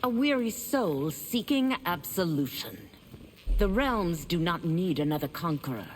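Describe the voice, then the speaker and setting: A woman's voice: lowish voice, lively intonation, a little slow, slight background noise. An actress playing a stern, penitent holy warrior woman in a video game, speaking solemnly and righteously. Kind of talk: video game character acting. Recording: loud music behind the voice.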